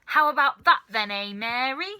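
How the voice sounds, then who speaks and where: A brash old grandma, voiced by a woman reader in a children's storybook. She crows and cackles loudly, boastful and demanding. The voice is bright, high and swooping. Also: animated, normal speed, clean tone, full character voice. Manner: shouting, cackling, crowing.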